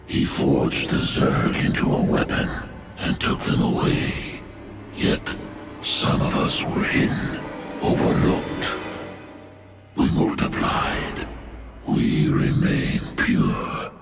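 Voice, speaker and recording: An actor playing a colossal ancient alien beast in a video game, solemn and ponderous, with a guttural, gravelly growl. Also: male voice, very deep voice, slow pace, exaggerated voice. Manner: ponderous, portentous pronouncements. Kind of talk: video game cinematic acting. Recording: loud game music behind.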